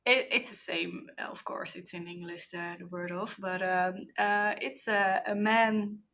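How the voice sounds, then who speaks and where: A woman's voice: lowish voice, fairly even intonation, a little slow, a bit bright, slight background noise. A woman from the Netherlands with a Dutch accent, chatting casually as a podcast co-host. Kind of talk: casual chat.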